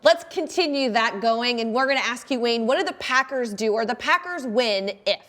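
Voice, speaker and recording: A woman's voice: on the high side, expressive, moderate pace, fairly bright tone. An American woman hosting a casual sports discussion show. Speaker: show host.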